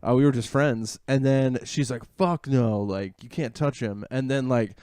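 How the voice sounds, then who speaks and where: A man co-hosting a casual podcast, telling a rambling, halting story. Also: mid-range voice, dark tone, clear, resonant tone, no echo, clean studio sound. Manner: rambling, halting, many fillers. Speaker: podcast co-host. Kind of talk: casual chat.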